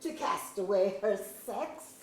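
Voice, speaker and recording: A woman playing a prim, easily offended gentleman courtier in a staged reading of mock-Shakespearean drama, with formal, drawn-out archaic speech. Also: medium pitch, expressive, vocal fry, slight background noise. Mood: affronted. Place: museum auditorium. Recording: echoey auditorium, distant mic.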